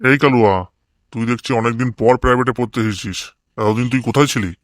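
A man's voice: medium pitch, a little fast, close to an everyday voice. A man voicing a stern, easily offended village tuition teacher in a comedy cartoon. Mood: bossy, questioning. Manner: questioning and bossy, scolds pupils.

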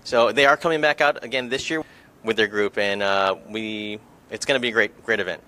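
A man's voice: fairly low pitch, fairly quick, bright, forceful tone. A man organizing an event, answering interview questions casually and hesitantly, with many ums and uhs.

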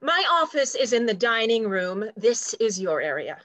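A woman's voice: on the high side, very animated, normal speed, a bit bright, no echo. A woman playing a prim, territorial junior executive assistant in a stage play reading, with a precise, officious, matter-of-fact delivery. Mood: prim, stern. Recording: dry video call.

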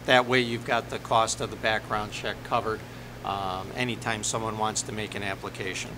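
A middle-aged man speaking haltingly as a village attorney, formally explaining ordinances at a board meeting. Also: fairly even intonation. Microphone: desk microphone on the dais. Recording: noisy meeting room with ventilation rumble.

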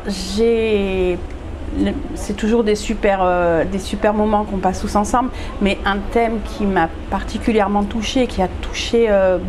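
A woman's voice: medium pitch, background noise. A woman in her forties from northern France, a photographer being interviewed, who answers casually with many hesitations and restarts, recorded with a lapel mic in a greenhouse. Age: 40s. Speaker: photographer and event organizer. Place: glass greenhouse room.

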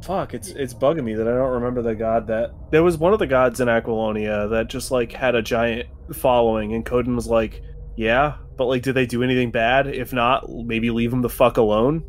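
A man's voice: mid-range voice, steady tone, brisk, a little hiss or hum. A man chatting casually as a tabletop game player, brash, slangy and profane. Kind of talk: casual chat.

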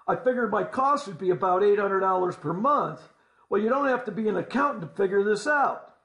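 A man with a fairly high voice narrates a scripted story in the first person. He speaks deliberately, with folksy asides put to the listener. The recording is roomy, with clear echo around his words. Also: a bit bright. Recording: roomy, echoing voice-over.

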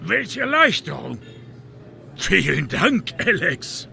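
An actor playing a quirky, courteous bar regular in a video game, with a theatrical, gallant manner. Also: male voice, sits high, very animated, slow pace, creaky, sharp tone, heavily acted. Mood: grateful. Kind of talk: video game character acting.

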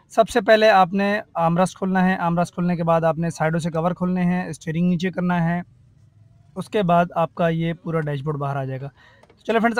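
A man, a car AC mechanic, walks through a repair step by step and keeps saying "theek hai" and "ye dekhiye". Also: on the high side. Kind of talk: workshop tutorial.

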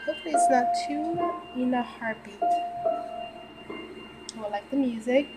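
A woman's voice: high-pitched, animated, moderate pace, poor audio. A woman in her thirties giving a casual, spontaneous reaction to a film at home, in an emotional, exclaiming manner. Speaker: reaction video host. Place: small room at home, indoors. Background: film soundtrack music.

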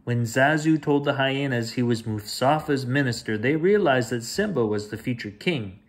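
A man in his forties reading a children's picture book aloud as a storyteller, deliberate and expressive. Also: mid-range voice. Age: 40s. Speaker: storyteller reading bedtime stories. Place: small home room with shelves, indoors.

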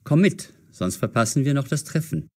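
An actor playing a cautious, curious young man in an audio drama for language learners, measured and clearly enunciated. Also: on the high side, animated, a little mellow. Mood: thoughtful.

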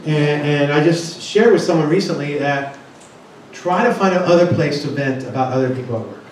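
A man's voice: on the high side, normal speed, a little hiss or hum. A man leading a seminar workshop, talking with the audience in a chatty, joking way. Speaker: workshop presenter. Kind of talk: interactive seminar talk. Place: hotel conference room. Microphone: handheld mic, far camera. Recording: echoey room, distant camera.

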